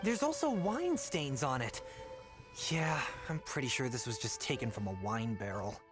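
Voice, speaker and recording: Brief: an actor as an earnest young game hopeful, bright-eyed and eager, voice swings widely. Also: medium pitch, sing-song, normal speed, natural voice. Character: eager young man seeking legendary weapons. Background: game music.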